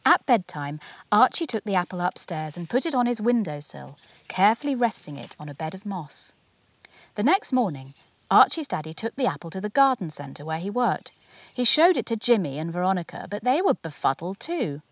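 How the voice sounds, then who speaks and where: A woman narrating a children's picture book as its author, in an expressive, measured storytelling manner. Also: medium pitch, no echo. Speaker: author reading their own picture book.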